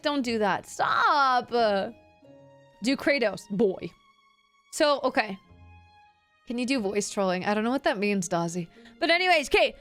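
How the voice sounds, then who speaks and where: A young woman streamer, giving a chatty, giggly voice lesson to her chat and swearing casually. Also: a little high. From Canada.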